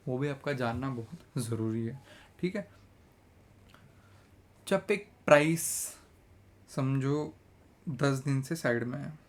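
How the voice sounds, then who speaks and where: A young man with a sore throat teaching a trading lesson, chatty and energetic, mixing English phrases into his talk. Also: on the high side. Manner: energetic, mixes English phrases, repeats "theek hai". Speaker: trading educator. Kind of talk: casual trading tutorial in Hindi-English mix.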